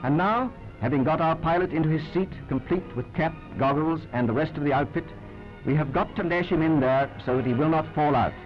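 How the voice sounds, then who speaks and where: A man's voice: on the high side, fairly quick, dull audio, faint music behind. A British man narrating a 1940s wartime newsreel in a wry, clipped, understated manner, on an old film soundtrack.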